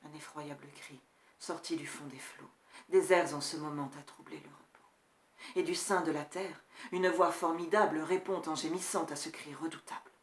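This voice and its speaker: A woman performing a grief-stricken male tutor in a classical verse tragedy, solemn and measured, moving between hushed and forceful delivery. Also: low voice, steady tone, roomy sound, pitched below the performer's own voice, natural voice. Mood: solemn dread. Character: grief-stricken male tutor recounting his prince's horrific death. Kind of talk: dramatic classical French verse recitation.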